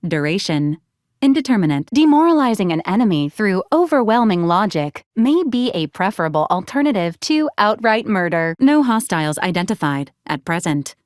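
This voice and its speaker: Woman voicing a clinical deadpan robot companion, close and dry. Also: medium pitch, moderate pace, no room sound, close to an everyday voice. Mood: clinical, officious. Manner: clipped, formal, deadpan, machine-like announcements. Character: female-voiced hovering robot companion, clinical, officious, dryly literal. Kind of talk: scripted video game character lines.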